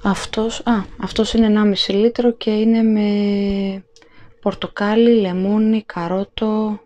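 A woman's voice: mid-range voice, flat delivery, dark tone, dull audio. A woman in her thirties chatting casually to the camera in her home kitchen at night, rambling with plenty of fillers and restarts. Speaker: vlogger. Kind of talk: casual haul vlog chat.

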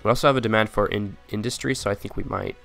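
A man's voice: lowish voice, fast talker, creaky, fairly bright tone, soft background music. A man with a stuffy nose casually commenting on a city-building game, thinking aloud with hesitant restarts.

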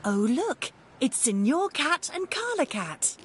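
High, animated cartoon pig mum. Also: female voice, takes it slowly, exaggerated voice. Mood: pleasantly impressed. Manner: warm, appreciative. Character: gentle, delighted pig mother. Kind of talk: children's cartoon dialogue.

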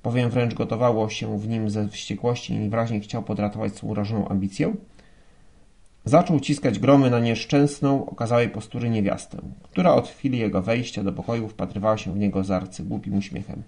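A man reading a novel aloud as an audiobook narrator, in expressive literary style. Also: fairly low pitch, a little fast, creaky.

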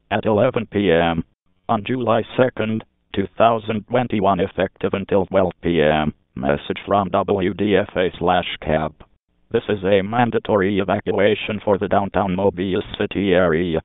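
Low synthetic male announcer, tinny phone-like sound. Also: no room sound. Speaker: emergency alert announcer. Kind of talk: scripted emergency alert announcement.

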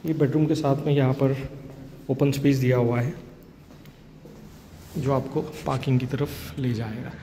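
A man's voice: medium pitch, level tone, moderate pace, long pauses, warm tone. A man walking through an empty house, casually pointing out each room for a property tour video. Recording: phone video with clear room noise.

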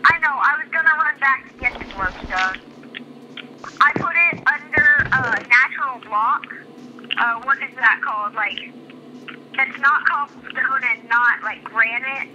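A young woman chatting casually as a co-player in a game, quick and a bit defensive. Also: sits high, lo-fi sound, background noise. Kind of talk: casual chat.

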